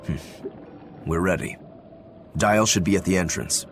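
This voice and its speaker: An actor playing an odd, earnest warrior in a fantasy game, with a plain-spoken, literal manner. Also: male voice, sits low, unhurried, background noise. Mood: matter-of-fact. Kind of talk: dubbed fantasy game acting.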